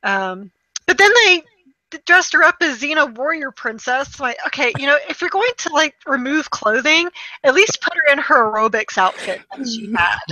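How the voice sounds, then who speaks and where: A woman talks in a casual, expressive storytelling style, slipping into quoted reactions. Her voice sits on the high side and sounds clear-edged and bright. The recording is dry, with very little room sound. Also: moderate pace, no echo, rough recording. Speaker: podcast co-host reviewing movies. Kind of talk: casual chat, animated anecdote-telling.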